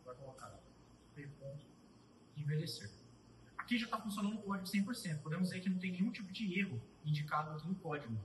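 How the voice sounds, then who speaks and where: A young man giving a casual live coding tutorial, thinking aloud as he types, with pauses and restarts. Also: fairly high pitch, animated, far from the mic, noisy background. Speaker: student presenter. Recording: roomy echo, voice off-mic.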